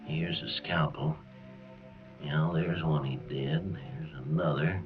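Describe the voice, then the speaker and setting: An actor playing a plain-spoken, folksy and wry assistant who also narrates a 1950s radio drama. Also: male voice, rumbling low, steady tone, a little slow. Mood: quietly sympathetic. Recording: dull vintage radio, music behind.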